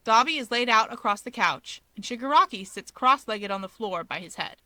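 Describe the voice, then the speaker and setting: Bright-voiced woman reading a story. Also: a little high, expressive, fairly quick, no echo. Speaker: story reader. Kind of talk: scripted fiction narration.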